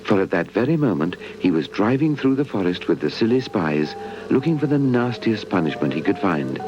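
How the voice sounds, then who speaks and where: A man's voice: lowish voice, big pitch swings, a little fast. A British man narrating a scripted children's puppet story, on a muffled old videotape. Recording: muffled old VHS tape. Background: music.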